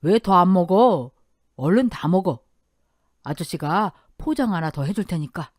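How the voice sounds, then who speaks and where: Woman acting as older man, gruff father-in-law, firm, caring, blunt, lively intonation, radio drama, dry sound. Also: lowish voice, measured pace, dry recording. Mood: kindly. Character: gruff but warm-hearted older father-in-law, a restaurant owner.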